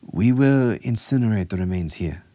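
A man's voice: on the low side, animated, normal speed, mellow, dry recording, voice pushed up, natural voice. A man voicing a firm, earnest female healer with a formal, insistent manner in an audiobook story. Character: earnest, firm healer sister, a woman of authority.

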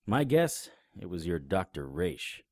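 Deep-voiced hard-boiled private eye. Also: male voice, very animated, measured pace, a little mellow, dry recording, clean studio sound, heavily acted. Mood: sardonic. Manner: sardonic, terse. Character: cynical, hard-boiled male private investigator, tough and sardonic. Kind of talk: audio drama acting with noir narration.

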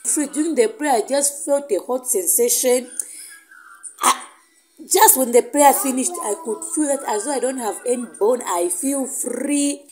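A woman's voice: high voice, a little slow, a little mellow, faint music behind, poor audio. A woman from Zambia giving an emotional, rambling testimony of feeling set free. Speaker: prayer testimony giver. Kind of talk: personal testimony.

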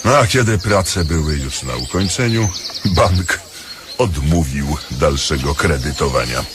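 A man's voice: extremely low pitch, lively intonation, measured pace, noisy background. A man acting in a radio play as a bankrupt industrialist who poses as a whining beggar, switching between polite speech and folksy wailing. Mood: polite, resigned. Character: bankrupt male industrialist playing a loud, whining deaf beggar.

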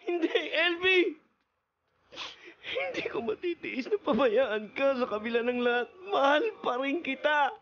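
A woman's voice: high-pitched, lively intonation, a little slow. A woman acting a desperate, lovesick young male classmate in a radio drama, earnest and pleading. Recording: lo-fi radio broadcast with faint noise.

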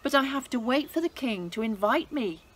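A woman's voice: on the high side, expressive, normal speed, slightly rough, pitched above the performer's own voice. A woman voicing a brave young queen who speaks firmly and earnestly in a read-aloud Bible story. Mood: worried. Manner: firm, earnest.

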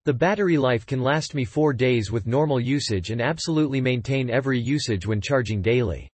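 A man reading customer product reviews aloud in an even, unhurried voice. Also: medium pitch, slightly rough, no room sound.

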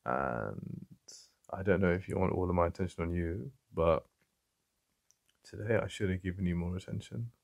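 A man with an English accent playing a jealous, possessive yet vulnerable boyfriend in an intimate ASMR roleplay, halting and conversational with a gravelly voice. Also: low-pitched, no room sound, clean studio sound. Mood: calming, vulnerable. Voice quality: gravelly, creaky. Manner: halting, repeating himself, conversational. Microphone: close, dry studio-style mic.

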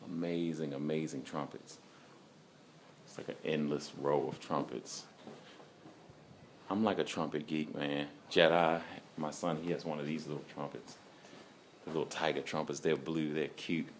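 A man in his forties walking through an instrument factory, filming himself on a phone held at arm's length and talking with excited enthusiasm. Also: a bass voice, normal speed. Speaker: vlogger touring an instrument factory. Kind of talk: casual walk-and-talk vlog. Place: large indoor factory hall and showroom. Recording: phone recording amid workshop crowd noise.

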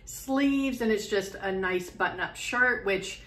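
A woman's voice: mid-range voice, slow pace, a bit bright. A middle-aged woman in her home sewing room chatting to camera, rambling with many ums and restarts. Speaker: sewing vlogger. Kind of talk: casual chat to camera. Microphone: camera in front, medium close framing.

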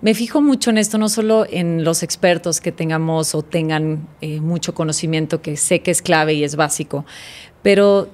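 A woman hosts a podcast in a dark studio. Her voice sits fairly low, and she speaks close to a boom-arm studio mic. She moves from a read introduction into warm, effusive chat. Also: normal speed. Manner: warm, effusive, reads from paper. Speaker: podcast host. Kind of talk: scripted intro then casual interview. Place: dark studio set, indoors.

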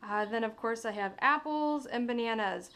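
Young lady vlogging in her kitchen, kinda high voice, chatty, really echoey. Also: moderate pace, fairly bright tone. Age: young adult. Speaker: vlogger and fitness coach. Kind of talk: casual instructional vlog. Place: home kitchen, indoors. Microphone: camera across the counter. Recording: echoey kitchen, away from mic.

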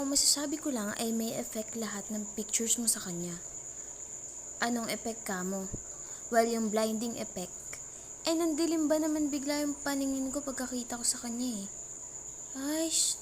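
High-voiced teasing Filipino girlfriend played by a woman, crickets behind. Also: lightly acted. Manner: teasing, mock-threatening, sarcastic, switching between Tagalog and English. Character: mildly jealous young Filipino girlfriend, playful, possessive, teasing. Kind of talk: intimate girlfriend roleplay audio. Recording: noisy track with cricket and insect sounds.

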